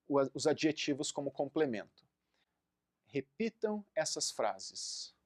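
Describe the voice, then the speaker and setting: A man in his thirties, a native Portuguese speaker, teaching an English lesson and drilling phrases slowly for learners to repeat. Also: fairly high pitch, no room sound, clean studio sound. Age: 30s. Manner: slow, deliberate drilling of phrases for repetition. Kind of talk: recorded online language lesson.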